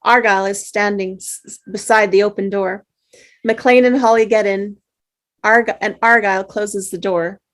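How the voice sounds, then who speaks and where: A woman's voice: mid-range voice, fairly even intonation, moderate pace, fairly bright tone. A woman reading the action lines of a screenplay aloud at a table read, sometimes tripping over words. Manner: stumbles on words while reading. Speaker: narrator, reads action lines. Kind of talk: script reading.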